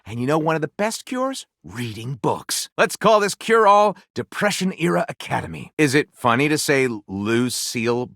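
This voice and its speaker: Theatrical, swooping storyteller. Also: male voice, a little high, sing-song, normal speed, creaky, few pauses, a bit bright, no room sound, clean studio sound, exaggerated voice. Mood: wry. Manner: grandiose, mock-dramatic. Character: whimsical, theatrical male storyteller, eager and mock-literary. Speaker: video game character voice actor. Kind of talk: theatrical storybook narration with comic commentary.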